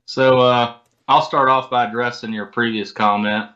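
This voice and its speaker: A man's voice: mid-range voice, fairly even intonation, normal speed, sharp tone. An American man chatting casually and modestly as an interview guest, over dull lo-fi audio. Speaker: interview guest, competitive angler.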